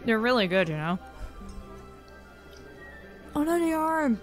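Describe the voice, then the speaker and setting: A woman's voice: on the high side, very animated, takes it slowly, soft background music. A woman voicing an elven tavern keeper in an ASMR roleplay, familiar and teasing with the listener, protective and tough. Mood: affectionate, chatty. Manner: familiar, teasing, uses pet names.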